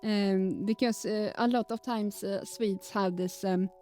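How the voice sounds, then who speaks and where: A woman's voice: mid-range voice, fairly even intonation, measured pace, dark tone, faint music behind. A woman whose first language is Swedish, speaking with a light Swedish accent as a streamer chatting casually, rambling, fumbling her words and laughing often. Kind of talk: casual live-stream chat.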